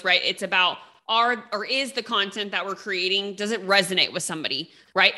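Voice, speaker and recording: A woman hosts a casual podcast interview with a bright, forceful voice. She gushes over her guest and keeps checking in with "right?" as she talks. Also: medium pitch. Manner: gushing, enthusiastic, lots of fillers and "right?". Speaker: podcast host.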